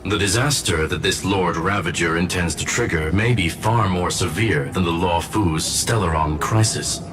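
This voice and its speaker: An actor playing a calm, courteous robot scholar in a game, speaking in a formal, precise, measured way. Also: male voice, sits low, natural voice. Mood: grave, composed. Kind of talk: scripted game story dialogue. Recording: loud music under voice.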